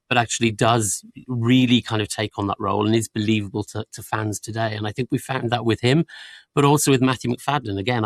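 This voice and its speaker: A man's voice: fairly low pitch, dry recording. A man answering panel interview questions as a production guest, articulate and explanatory, often dropping in small filler phrases. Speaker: audiobook production team member interviewed as a guest.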